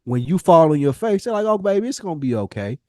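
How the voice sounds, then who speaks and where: A man's voice: a little high, sing-song, quick pace, darkish tone, no room sound, clean studio sound. An American man hosting a podcast, talking casually and reflectively in an earnest, rambling, emphatic way, with slang and swearing. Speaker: podcast host. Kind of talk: casual, reflective conversation with a co-host.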